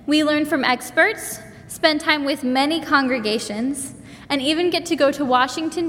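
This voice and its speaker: A young woman from Kansas, a student intern, reading a prepared church announcement in a clear, bright way. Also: sits high. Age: young adult. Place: church sanctuary. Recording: reverberant hall, faint noise.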